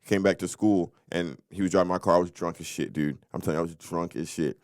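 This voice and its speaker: A young man co-hosting a podcast, telling stories in a loose, animated way and acting out what people said. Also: sits low, quick pace, no echo, clean studio sound. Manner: animated storyteller, acts out quotes. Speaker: podcast co-host. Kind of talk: casual storytelling chat.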